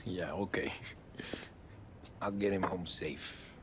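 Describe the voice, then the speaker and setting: An actor playing a modest young male mobster driver who talks in a low-key way. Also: takes it slowly. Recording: game audio with clear background noise.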